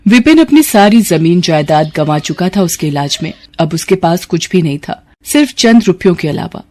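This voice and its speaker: A woman's voice: lowish voice, expressive, moderate pace, a little mellow. A woman narrating a scripted moral story as its storyteller. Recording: clear background noise.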